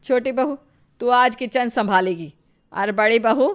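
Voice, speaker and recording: A woman voicing a domineering, nagging mother-in-law with a sharp, bossy manner in an animated family story. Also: fairly high pitch, unhurried, lightly acted. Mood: angry, scolding. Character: scolding, scheming, domineering mother-in-law of two daughters-in-law.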